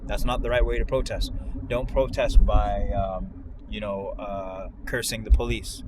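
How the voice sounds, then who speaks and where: American man talking over loud car noise. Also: lowish voice, steady tone, a little slow. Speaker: show co-host. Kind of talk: casual discussion while driving. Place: inside a moving car.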